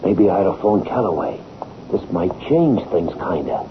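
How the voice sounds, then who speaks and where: An actor playing a tough, cocksure hired killer in an old radio drama, talking in blunt streetwise slang. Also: male voice, sits low, very animated, moderate pace. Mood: uneasy. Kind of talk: 1930s-40s radio acting. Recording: muffled, noisy vintage radio recording.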